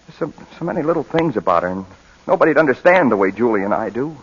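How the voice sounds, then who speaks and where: An actor playing a humbled, loving young father who speaks in halting, emotional pleas in a 1940s radio drama. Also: medium pitch, sing-song, husky. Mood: desperate pleading. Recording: muffled old radio broadcast recording.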